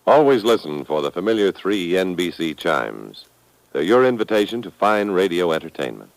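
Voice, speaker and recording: A male American network announcer in the 1950s radio manner. His voice is fairly low yet lively in pitch, on a dry old broadcast recording. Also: normal speed, no echo, poor audio.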